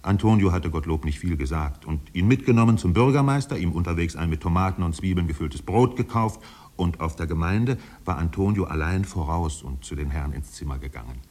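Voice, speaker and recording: An actor playing a solemn literary storyteller, narrating a 1950s German radio drama in a measured, dramatic manner. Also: male voice, expressive, a little mellow. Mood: measured, wistful. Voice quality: deep, husky.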